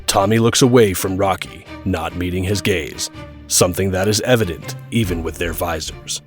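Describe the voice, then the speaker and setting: Low-voiced man narrating action over music. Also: normal speed. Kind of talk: scripted audio drama action narration.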